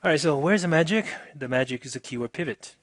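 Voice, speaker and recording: Husky male instructor, casual and chatty. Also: fairly high pitch, lively intonation, fast, dry recording. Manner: informal, chatty, checks in with "okay" and "right". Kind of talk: casual software demonstration lesson.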